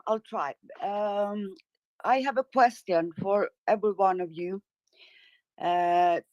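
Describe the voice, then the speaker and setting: A middle-aged woman from northern Sweden speaks English with a Swedish accent, slowly and carefully as a second language. Her voice is low for a woman and very unhurried, with hesitant pauses. The sound is dry and close, with no room echo. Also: darkish tone. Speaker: caller. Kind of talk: casual chat.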